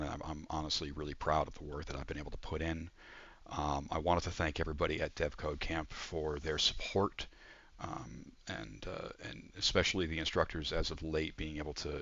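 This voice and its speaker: Male student presenter, low gravelly voice, informal and halting demo delivery, slightly muffled audio. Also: pushed and bright. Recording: muffled, echoey room recording.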